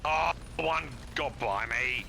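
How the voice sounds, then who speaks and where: A man's voice: medium pitch, takes it slowly, vocal fry, pushed and bright, a little hiss or hum. A man playing a co-op speedrun, calling out moves in a laid-back, casual way. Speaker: co-op speedrun partner. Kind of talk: casual gaming chat.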